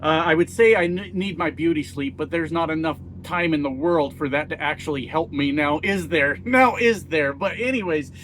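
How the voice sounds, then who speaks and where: A man's voice: on the high side, moderate pace, few pauses, fairly bright tone, a little hiss or hum. A middle-aged American man giving blunt, casual political commentary, folksy and self-mocking, swearing freely. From United States. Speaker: YouTube commentator.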